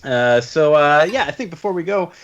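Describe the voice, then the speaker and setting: Laid-back joking podcast host, lo-fi. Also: male voice, a little high, lively intonation, moderate pace. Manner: laid-back, jokey, rambling with many fillers. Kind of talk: casual chat.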